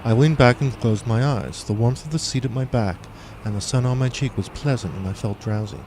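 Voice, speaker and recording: A man's voice: mid-range voice, fairly quick, darkish tone, a little hiss or hum. A man reading his own scripted first-person fiction as an audiobook narrator.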